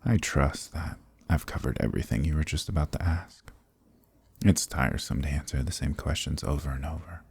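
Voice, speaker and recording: Casting: male actor for ancient dominant archdemon, dark fantasy ASMR. Bottomless bass with husky rasp, slow, smug, commanding delivery. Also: dry recording, exaggerated voice. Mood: smug, weary. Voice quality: very deep, gravelly, husky, breathy. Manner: slow, deliberate, condescending, commanding.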